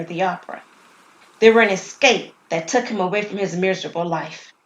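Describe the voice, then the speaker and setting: A woman's voice: medium pitch, roomy sound, low-quality recording. A woman reading a scripted story as its narrator and storyteller. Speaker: storyteller, writer and performer.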